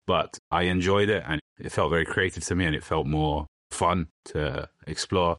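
Very deep-voiced young man. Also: fairly even intonation, normal speed, fairly bright tone, no room sound, clean studio sound. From London, England. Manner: plain, matter-of-fact explaining with loose restarts. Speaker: guest. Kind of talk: podcast interview answers.

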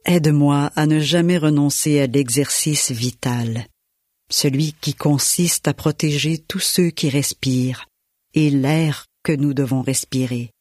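A man reading a prayer slowly and solemnly on the radio. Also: a little high, steady tone, a little mellow, no echo, clean studio sound. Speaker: radio announcer. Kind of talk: read prayer.

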